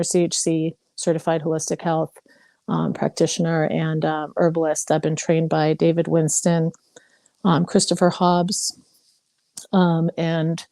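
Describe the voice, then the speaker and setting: Fifty-something woman from hawaii sharing her story, lots of ums, mellow tone. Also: lowish voice, no room sound. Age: 50s. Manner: candid, rambling, many fillers. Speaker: participant. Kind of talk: casual personal sharing.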